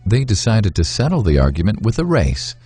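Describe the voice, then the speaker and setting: A man narrating a scripted moral fable in a measured, instructive way, with deliberate phrasing. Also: fairly low pitch, huge pitch swings, mellow, breathy edge, soft background music. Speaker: storyteller, narrator.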